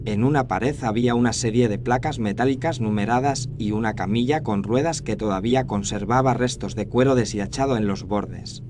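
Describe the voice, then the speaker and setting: A man's voice: on the low side, darkish tone. A man reading a scripted first-person horror story as its narrator. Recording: faint noise and ambience behind narration.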